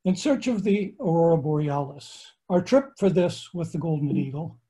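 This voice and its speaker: An older man with an American accent talks through a slideshow from his book-lined study. His voice sits fairly high for a man yet sounds mellow and warm, and he explains things at an even, friendly pace. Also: normal speed. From United States. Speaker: astronomer and tour lecturer. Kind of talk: online slideshow lecture. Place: home study with bookshelves.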